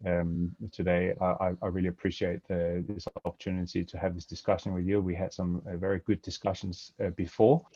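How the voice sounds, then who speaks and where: A man's voice: sits low, flat delivery, brisk, hardly any pauses, mellow, no room sound. A middle-aged man from Denmark with a Danish accent, giving a hesitant webinar talk full of fillers from a home office over a headset. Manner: hesitant, many fillers and restarts. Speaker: webinar guest speaker. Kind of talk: webinar presentation. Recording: dry, dull video-call audio.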